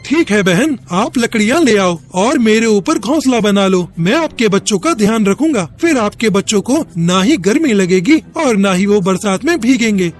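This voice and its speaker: A man's voice: high voice, animated, normal speed, hardly any pauses, soft background music. A man voicing a kind, brotherly talking cloud who gives reassuring advice in a children's moral cartoon. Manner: reassuring, advising. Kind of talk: Hindi moral cartoon dialogue.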